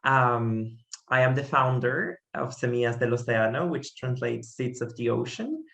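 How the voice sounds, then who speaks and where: A young man with a Guatemalan Spanish accent and a fry-edged voice talks through a presentation at a middle pitch. He sounds friendly and earnest, and he fills his speech with ums and restarts. The video call audio has a noticeable room echo. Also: normal speed, a bit bright. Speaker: conservation organization founder. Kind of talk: online presentation talk.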